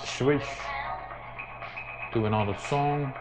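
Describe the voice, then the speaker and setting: A man presenting an informal hands-on tech tutorial, speaking haltingly with very frequent hesitations. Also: medium pitch. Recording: muffled lo-fi mic, music behind.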